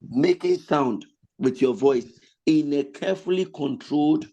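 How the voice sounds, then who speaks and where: Male pastor-lecturer, high register, slow, deliberate delivery; a bit under the weather with a cold; online class over a video call, repeats points. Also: warm tone, muffled recording, slight background noise. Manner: explains slowly, repeats points, breaks into sung examples. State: has a cold, voice slightly lost. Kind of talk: online vocal training lecture with sung demonstrations.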